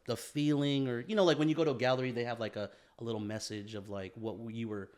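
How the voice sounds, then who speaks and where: A man's voice: medium pitch, fairly even intonation, quick pace, a little mellow. A man co-hosting a casual podcast chat, rambling with lots of fillers and restarts, speeding up when he gets going. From San Francisco Bay Area. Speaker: podcast co-host, photographer.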